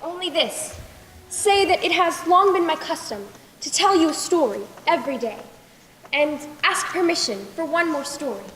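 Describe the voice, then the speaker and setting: A woman's voice: very high voice, expressive, normal speed, a bit bright. A young teenage girl acting a brave, resolute daughter in a school stage play. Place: school stage in a large hall. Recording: echoey hall with background noise.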